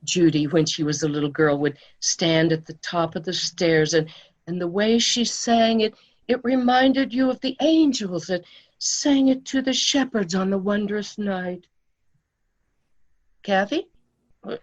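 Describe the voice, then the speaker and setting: A woman acting a wistful, sentimental older woman in a radio play, telling things tenderly and haltingly. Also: mid-range voice, close to an everyday voice. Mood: anxious searching.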